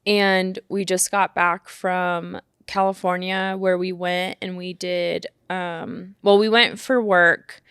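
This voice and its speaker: A woman of thirty co-hosting a podcast in casual chat, talking in an animated way, often laughing and filling with "like". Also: fairly low pitch, slow pace, few pauses, smooth, no room sound, clean studio sound. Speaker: podcast co-host.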